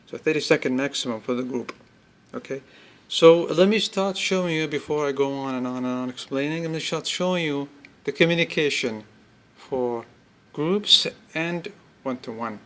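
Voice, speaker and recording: A man presenting an informal product demonstration, explaining step by step in halting phrases and repeating himself. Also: a little high. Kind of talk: informal spoken product demo.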